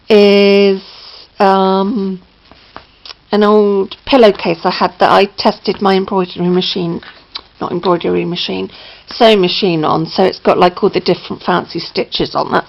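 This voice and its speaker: A woman with a slightly croaky voice, chatting casually at home as she shows her craft book, with lots of ums and self-corrections. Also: mid-range voice, dull audio. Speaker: crafting vlogger. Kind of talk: casual show-and-tell. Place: indoors at home, downstairs near a patio.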